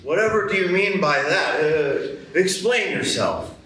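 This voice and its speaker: A man voicing an aloof male caterpillar in a live radio play, slow and haughty, in an echoey room. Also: fairly high pitch, lively intonation, pushed and bright. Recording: echoey stage, distant mic, room noise.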